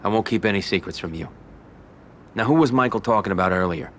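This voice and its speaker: A male actor as a tough, wary American gangster in a game drama. His voice is low and his delivery blunt and plainspoken, over faint background noise. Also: quick pace, a bit bright, close to an everyday voice. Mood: earnest. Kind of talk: dramatic game cutscene acting.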